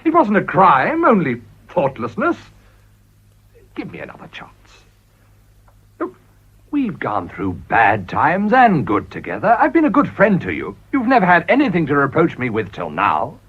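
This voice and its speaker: A man's voice: fairly high pitch, huge pitch swings, normal speed, breathy edge, heavily acted. An actor playing a ruthless, desperate middle-aged businessman in a radio drama, with a British accent and a blustering, wheedling delivery. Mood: pleading. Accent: British English. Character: ruthless, desperate, smooth-talking male businessman and political climber. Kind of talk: radio play acting. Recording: dull, muffled old radio recording.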